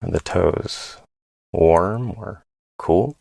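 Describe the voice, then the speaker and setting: A man leading a guided body scan meditation in a gentle, unhurried way, pausing between phrases. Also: low voice, vocal fry, dry recording, clean studio sound.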